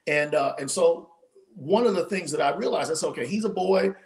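Guest author, forties, male, animated storyteller on a home video call, voice tiring. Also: a little high, fairly quick. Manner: animated, storytelling with many restarts. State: losing his voice. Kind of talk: casual interview storytelling. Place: home room, indoors.

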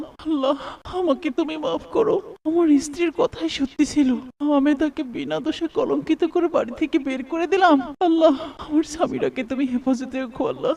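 A man's voice: very high voice, normal speed, gravelly, a little mellow. A man voicing a hot-tempered young husband in a melodramatic cartoon, emphatic and exclamatory. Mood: remorseful. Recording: distant from the microphone.

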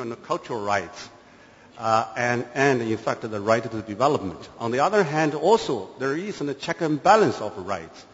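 A man from China whose first language is Chinese, a government delegate giving a formal statement at a conference, measured, with many hesitations. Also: medium pitch, a little hiss or hum. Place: large conference hall. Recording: echoey hall, dull lo-fi sound.

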